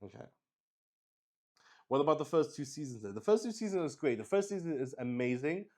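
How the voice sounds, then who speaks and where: A man's voice: fairly high pitch, animated, brisk, a little mellow. A man hosting a solo tabletop roleplay stream, improvising the narration as he thinks aloud and repeats phrases. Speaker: game master and solo player, show host.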